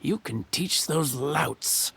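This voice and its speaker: An actor playing an aging, put-upon village carpenter who speaks plainly and a bit hesitantly in a video game. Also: male voice, fairly high pitch, expressive, slow, gravelly, a bit bright, breathy edge, heavily acted. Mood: weary, troubled. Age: older.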